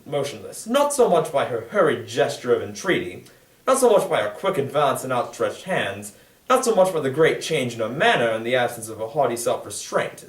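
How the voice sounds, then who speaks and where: Expressive young man reading in echoey room. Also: mid-range voice. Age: young adult. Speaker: student reader. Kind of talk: dramatic literary reading, scripted narration. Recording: echoey room, distant microphone.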